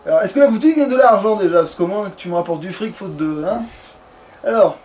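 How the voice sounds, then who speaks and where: Man, high pitch, casual gaming chat, grumbling slang, faint game ambience. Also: expressive, fast talker, a little mellow, slight background noise. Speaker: let's player.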